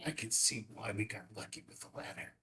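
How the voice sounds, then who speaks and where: A man acting in a table read as an older, gentle rancher and adoptive father, halting and sincere, with a gravelly voice. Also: lowish voice, exaggerated voice. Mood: solemn confession.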